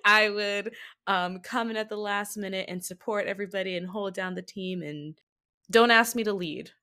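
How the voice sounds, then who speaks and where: A woman guesting on a podcast, chatting casually in a playful, expressive way. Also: mid-range voice, normal speed, clear, resonant tone. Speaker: podcast guest, tabletop roleplay performer. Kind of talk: casual chat.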